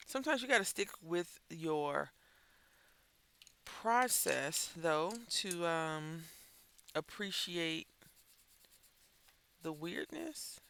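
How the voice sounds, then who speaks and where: A man's voice: on the high side, big pitch swings, extremely slow, gravelly, long pauses, bright, forceful tone, smooth. A man chatting while coloring as host of a crafting channel, rambling and thinking aloud, sounding stuffy and congested. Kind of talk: casual chat while coloring.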